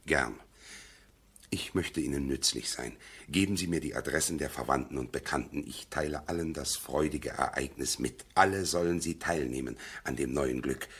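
Casting: sententious male go-between, bass-deep and rough-edged, preachy, seventies radio play. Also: normal speed, fairly bright tone, exaggerated voice. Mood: officious. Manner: sententious, preachy, emphatic phrasing. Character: fussy, moralizing male family go-between. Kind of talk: 1970s German radio play acting.

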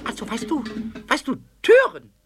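An actor playing a cheeky, boastful, childlike little kobold with a squeaky voice in a children's radio play. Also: male voice, moderate pace, music in the background, heavily acted. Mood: indignant, defensive. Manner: excitable, stammering repetitions, rhyming.

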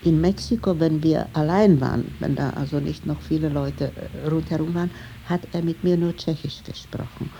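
Low, creaky older woman, very dark tone. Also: normal speed, muffled recording, slight background noise. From Prague, Czech lands. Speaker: interviewed eyewitness recalling the past. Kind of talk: personal reminiscence in interview.

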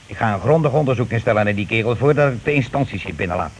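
An actor playing a blunt, self-righteous working-class husband in a radio play, grumbling, bossy and rather pompous. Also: male voice, on the low side, expressive, racing, lightly acted. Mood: pompous, accusing. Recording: noisy old radio play recording.

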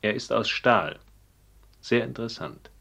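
An actor playing a cold, persuasive schemer in a German radio play, speaking with controlled, deliberate insistence. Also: male voice, lowish voice, lively intonation, vocal fry, bright, forceful tone, slightly rough, heavily acted. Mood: coolly insistent. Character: cold, scheming, persuasive man planning a murder.

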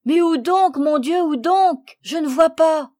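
A woman voicing a frightened but brave boy in a storybook, shouting urgently. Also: sits high, slow, no echo, clean studio sound, pitched above the performer's own voice. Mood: frantic, frightened.

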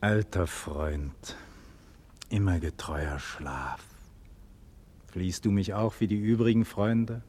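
A man playing a noble imprisoned hero in a 1940s radio play, declaiming a solemn classical monologue in a husky voice. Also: sits low, slow, long pauses, darkish tone, heavily acted. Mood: brooding, weary. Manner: solemn, declamatory, swelling from murmur to ardour. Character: noble imprisoned hero brooding on death and freedom.

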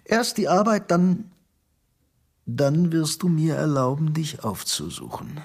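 An actor playing a boastful, seductive and fanatical Soviet security chief in a radio drama, theatrical in manner. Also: male voice, lively intonation, takes it slowly, gravelly, slightly rough, heavily acted. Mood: seductive, menacing. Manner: theatrical, swinging from booming to hushed.

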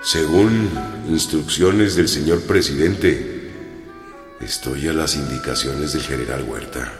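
An actor playing a plain-spoken, wary Mexican revolutionary cavalry commander in a radio drama, talking in a deliberate rustic way. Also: male voice, a bass voice, gravelly, exaggerated voice. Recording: music behind the voice.

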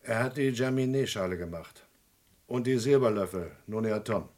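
An actor playing a secretive underworld informant in a German radio crime play, clipped, guarded and matter-of-fact. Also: male voice, fairly low pitch, moderate pace, a little mellow, lightly acted. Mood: terse, confiding.

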